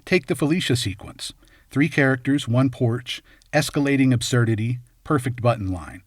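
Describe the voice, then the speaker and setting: A man's voice: mid-range voice, moderate pace, dry recording. Man narrating a scripted analysis, dry close sound. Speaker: video essay host and narrator.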